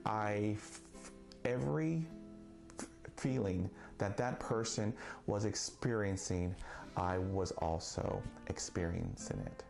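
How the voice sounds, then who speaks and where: Man, forties, stutters, deep-set unhurried delivery over soft guitar music; personal vlog monologue. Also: vocal fry. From Texas. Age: 40s. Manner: stutters, slow and deliberate with pauses. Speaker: vlogger. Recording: faint guitar music behind.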